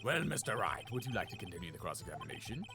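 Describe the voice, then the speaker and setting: A man's voice: fairly low pitch, sing-song, racing, gravelly, over music, voice pushed down. A man playing a stern but bemused older judge in a video game, speaking in formal pronouncements.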